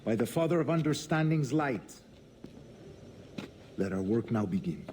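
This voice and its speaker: A man's voice: medium pitch, very animated, unhurried, a little mellow, slight background noise. A man playing a commanding, courteous Spanish governor and secret grandmaster in a game, formal and ceremonious with a Spanish accent. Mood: solemn. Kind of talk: solemn dramatic game acting.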